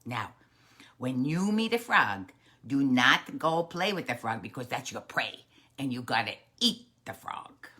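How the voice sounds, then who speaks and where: A woman plays a practical mother snake from a storybook. She is stern and instructive, speaking in a low, husky voice. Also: big pitch swings, unhurried, pushed and bright, full character voice. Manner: firm, instructive.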